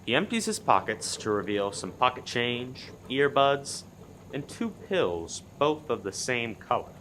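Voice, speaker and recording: A man narrating a scripted comic murder mystery as animated storytelling, with noise in the background. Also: medium pitch, a little slow, poor audio. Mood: suspenseful. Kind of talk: scripted radio play narration.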